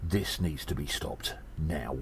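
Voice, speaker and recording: Deep, halting, earnest gnome adventurer played by a man. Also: creaky, slight background noise, full character voice. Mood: grave, determined. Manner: halting, hesitant, urgent. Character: earnest, worried gnome adventurer rallying allies against an attack. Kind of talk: audio drama monologue, spoken as a personal report.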